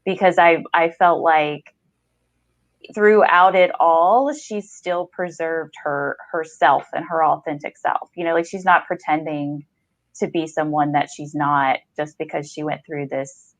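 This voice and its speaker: A woman's voice: deep voice, fairly even intonation, a little slow, no echo. A woman hosting a podcast, interviewing an author in a reflective, affirming, conversational way. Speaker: podcast host.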